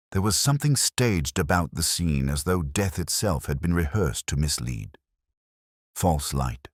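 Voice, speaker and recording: Very deep-voiced man narrating a brooding detective story. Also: darkish tone, no echo, clean studio sound. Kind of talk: scripted first-person literary narration.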